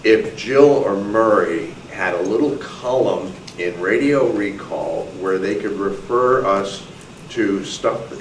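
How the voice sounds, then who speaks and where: Man, lowish voice, informal audience remark, echoey room, noisy. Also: far from the mic. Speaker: audience member. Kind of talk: informal suggestion from the floor. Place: meeting room.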